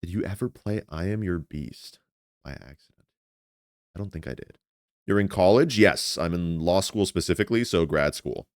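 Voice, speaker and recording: Deep-voiced young man, smooth and casual. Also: moderate pace, dry recording, studio quality. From United States. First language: Spanish. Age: 20s. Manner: rambling, often stammers and repeats words. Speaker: livestreamer. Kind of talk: casual chat with stream viewers.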